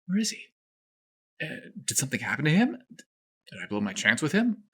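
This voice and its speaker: A man's voice: a little high, sing-song, moderate pace, bright, forceful tone, breathy edge, voice pushed up, heavily acted. A man voicing an anxious, eager young male golf pro in an audio story, fretting with questions. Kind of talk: audio story character acting.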